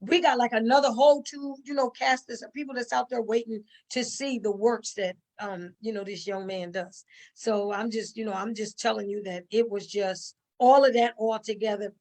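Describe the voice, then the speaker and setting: Middle-aged woman, higher-pitched voice, conversational, emphatic storyteller who repeats for stress. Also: fairly even intonation, normal speed, darkish tone. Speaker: stage play cast member. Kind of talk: conversational interview answers.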